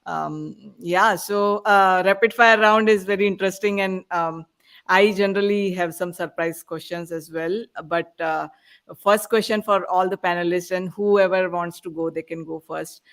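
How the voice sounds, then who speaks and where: A woman's voice: fairly low pitch, steady tone, moderate pace. Woman hosting a panel discussion. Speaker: podcast co-host.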